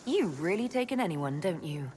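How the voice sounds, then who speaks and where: Low-voiced, composed elf heroine. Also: female voice, normal speed, a little hiss or hum. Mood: curious. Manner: calm, direct questioning. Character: composed female elf leader, curious and polite. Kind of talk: video game acting.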